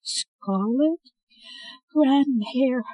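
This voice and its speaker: A reader voicing a desperate young woman who speaks urgently and tearfully, far higher than the reader's own narration. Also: very slow, warm tone, no room sound, heavily acted. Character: desperate young woman rescuing her grandmother.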